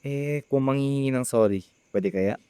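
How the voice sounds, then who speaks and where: A man's voice: medium pitch, normal speed, warm tone, slight background noise, lightly acted. A man with a Filipino accent playing a hardworking, overprotective young eldest brother in a radio drama. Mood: apologetic, earnest.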